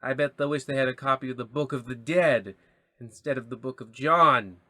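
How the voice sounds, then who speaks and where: A man's voice: mid-range voice, normal speed, bright, forceful tone, dry recording, natural voice. An actor playing an arch, teasing announcer who narrates to his listeners in old-time radio style. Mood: arch, suspenseful.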